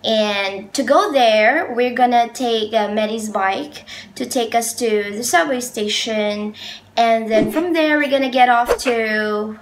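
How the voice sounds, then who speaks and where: A woman's voice: a little high, slow. A young woman in her twenties, chatting to her viewers about a night outing in an upbeat, casual way. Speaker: vlogger. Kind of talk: casual travel vlog. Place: indoors at a plain wall, then busy city streets at night. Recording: loud street noise behind.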